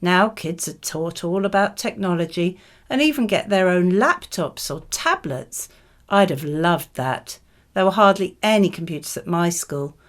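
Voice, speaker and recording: A woman with a slightly low-set voice reads model answers for an English speaking test. She is a native speaker and sounds conversational though scripted. Her delivery is measured and explanatory. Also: expressive.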